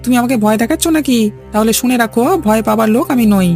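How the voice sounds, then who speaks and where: A woman acting as a feisty cartoon village farmer woman who rattles off her words with a gravelly creak. She is sharp-tongued and stands her ground, stressing her points like a born scolder. Music plays underneath. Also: on the high side, steady tone, fast talker, lightly acted. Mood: defiant, indignant. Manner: scolding, argumentative, emphatic. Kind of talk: Bengali cartoon dialogue.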